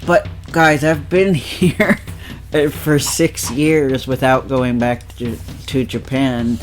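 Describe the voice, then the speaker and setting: A man's voice: medium pitch, fairly even intonation, slow pace, slight background noise. A man with a nasal voice chatting casually while playing a game, rambling with lots of fillers and laughs. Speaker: let's play co-host. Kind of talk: casual chat over gameplay.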